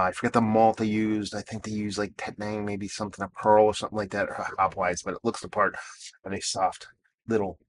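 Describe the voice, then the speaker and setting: Hoarse man hosting a beer review. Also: fairly low pitch, fairly bright tone, a little hiss or hum. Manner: rambling and self-deprecating, many fillers. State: hoarse, losing his voice. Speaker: beer reviewer and show host. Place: outdoors.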